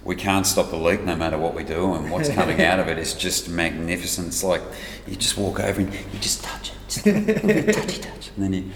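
A man's voice: low voice, animated, brisk, a bit bright. A middle-aged Australian man chatting casually as a guest, in a rambling, jokey, self-deprecating way. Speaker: guest, whiskey brand ambassador. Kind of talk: casual chat. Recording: roomy reverberant space with faint noise.

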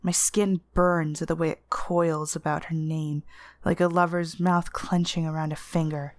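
A woman reading literary first-person fiction as narrator, with an intense, deliberate and expressive dramatic delivery. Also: deep voice, no echo. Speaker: story narrator.

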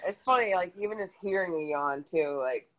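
A woman chatting casually in a lively, unhurried way. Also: lowish voice, a loud crowd, rough recording. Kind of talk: casual chat.

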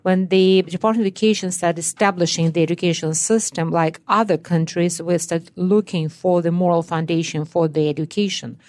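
A woman's voice: lowish voice, steady tone, brisk, hardly any pauses, a little mellow, no room sound. A middle-aged woman from Russia with a Russian accent, earnestly explaining things as a guest in a radio studio interview. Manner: earnest, explanatory, non-native grammar. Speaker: guest, education scholar.

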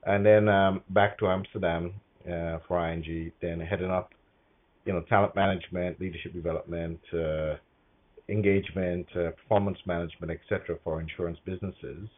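A middle-aged man from Guyana with a low-pitched voice gives professional interview answers. He is measured and often pauses to find his phrasing. The sound is slightly dull and band-limited. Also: steady tone. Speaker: interview guest, corporate HR executive.

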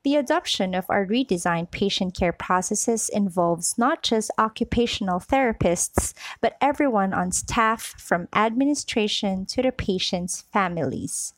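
A woman voice-over artist reading a corporate training script in a clear, measured, earnest way, close to the mic. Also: medium pitch, lively intonation, no echo.

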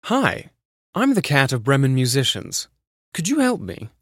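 A man's voice: mid-range voice, huge pitch swings, normal speed, fairly bright tone, no echo, studio quality, voice pushed up. A man voicing a friendly, wheedling storybook cat with a playful, coaxing manner, for a character demo.

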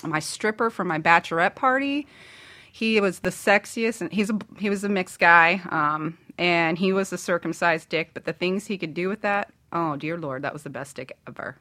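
Bright-voiced woman in frank bawdy chat. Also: lowish voice, normal speed. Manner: blunt, profane storytelling. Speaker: talk show guest.

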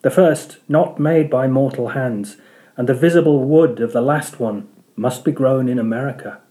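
A man's voice: medium pitch, moderate pace, warm tone, close to an everyday voice. A man reading a storybook part as a mysterious, ominous harpooner who prophesies solemnly in archaic speech.

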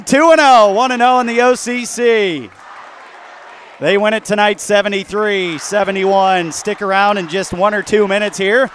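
A man's voice: high voice, a bit bright, slight background noise. An American man working as a play-by-play basketball announcer, his voice strained from heavy use. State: losing his voice. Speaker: play-by-play sports announcer and host. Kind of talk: excited basketball commentary.

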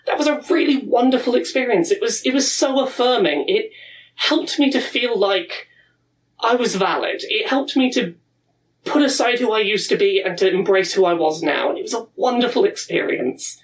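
A woman's voice: fairly high pitch, animated, distant mic, slight background noise, rough recording. A woman in her thirties giving an earnest, reflective personal talk at a pride event. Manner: earnest, warm, with reflective pauses and restarts.

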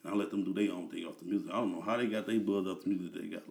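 Laid-back lower-voiced man, slangy. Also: fairly even intonation, rapid-fire, gravelly, darkish tone. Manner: laid-back slang-heavy talk with frequent fillers. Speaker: interview guest. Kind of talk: casual conversational interview.

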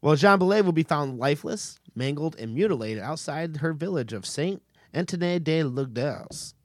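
Guy telling a spooky history story to his buddies, lively voice, dry sound. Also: fairly high pitch, moderate pace, no room sound. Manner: jokey, animated storyteller. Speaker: podcast host telling the story. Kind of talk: casual storytelling chat.

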